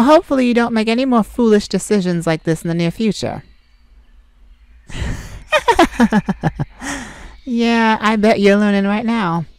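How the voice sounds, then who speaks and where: A woman's voice: medium pitch, a little mellow, no room sound, natural voice. A woman voicing a sassy, snarky male forest fae in an ASMR roleplay, teasing and blunt, recorded on a close mic.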